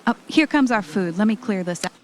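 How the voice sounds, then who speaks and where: A woman playing a fond, careful wife on vacation in an audio drama, chatty and gently chiding. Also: medium pitch, expressive, a little fast, close to an everyday voice. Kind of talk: audio drama acting, conversational. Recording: faint background noise.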